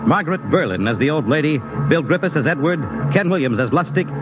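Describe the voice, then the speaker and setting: A man's voice: on the high side, expressive. Formal 1950s radio announcer, man. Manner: formal, measured credits reading. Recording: dull old 1950s radio broadcast with music.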